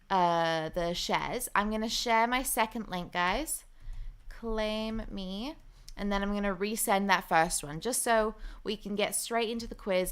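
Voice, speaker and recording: A young woman hosting a live stream, chatting loosely with her viewers. Her voice is bright and crisp, and she fills her pauses with easy ums while she reads out comments. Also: mid-range voice. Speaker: livestream host. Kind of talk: casual livestream chat.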